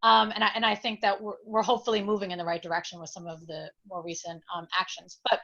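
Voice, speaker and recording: A woman's voice: medium pitch, flat delivery, fast, sharp tone. A woman speaking unscripted as a political candidate on a discussion panel, hesitant and thinking aloud as she goes. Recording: dull lo-fi sound.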